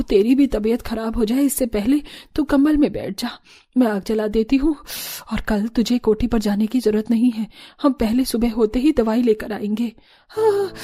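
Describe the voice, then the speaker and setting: A woman's voice: a little high, warm tone, close to an everyday voice. A woman playing poor, caring family women in a Hindi cartoon story, earnest and pleading. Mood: insistent. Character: poor, pleading, caring mother-in-law and daughter-in-law.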